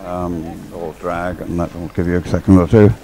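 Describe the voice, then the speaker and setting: A man's voice: low-pitched, level tone, normal speed, dark tone. A man giving casual, explanatory live race commentary as a sports commentator.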